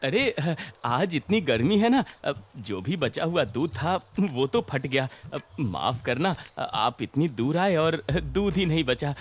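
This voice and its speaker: Man acting a flustered, animated greedy village milkman. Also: on the high side, slow pace, few pauses, heavily acted. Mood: sheepish. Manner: flustered, stammering, exclamatory. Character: greedy, nervous, irritable village milkman husband. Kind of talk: children's moral story cartoon dubbing. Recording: music behind the voice.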